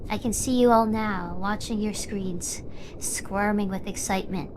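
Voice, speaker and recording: A woman's voice: mid-range voice, fairly even intonation, unhurried, background noise, natural voice. A woman voicing a young shark girl idol, bitter and wounded, in a theatrical, dramatic drama delivery. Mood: bitter, taunting.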